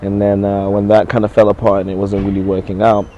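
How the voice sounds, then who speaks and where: Easygoing Nigerian man, low mellow voice. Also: completely flat delivery, lo-fi sound, a little hiss or hum. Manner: halting, many restarts and fillers. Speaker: musician guest. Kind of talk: casual interview chat.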